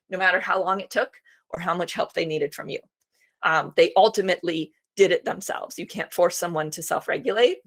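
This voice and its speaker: A woman walks parents through a homeschool lesson in a relaxed, reassuring webinar voice. Her voice sits at an ordinary middle pitch, and she fills pauses with soft "um"s. She sounds a little worn, as if she is starting to lose her voice. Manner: chatty and reassuring, frequent "um" fillers. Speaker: homeschool program co-founder presenting a webinar. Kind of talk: informal instructional webinar talk.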